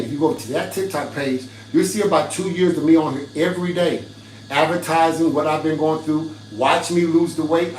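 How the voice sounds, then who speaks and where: A raspy-voiced middle-aged man on a livestream, talking straight to his viewers in an emphatic, preachy way. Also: fairly high pitch, recorded from a distance. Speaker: fitness livestreamer. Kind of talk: casual livestream pitch to viewers. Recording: roomy livestream audio from off-mic with faint hum.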